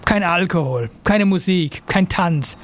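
A man's voice: high voice, a little slow, slight background noise. A man playing a fanatical Islamist recruiter in an audio drama, preaching in a solemn, declamatory way. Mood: stern, menacing. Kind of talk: scripted audio drama monologue.

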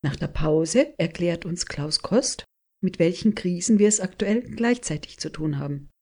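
A woman hosting a radio show, reading calm and clear summaries and introductions. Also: lowish voice, a little slow. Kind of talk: scripted radio moderation.

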